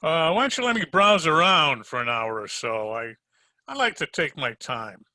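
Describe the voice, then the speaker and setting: A man playing a wisecracking, unhurried hardboiled detective in an old-time radio drama, wry and slangy. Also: a little high, sing-song, pushed and bright, full character voice. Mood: casual, probing. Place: home, recorded over a video call.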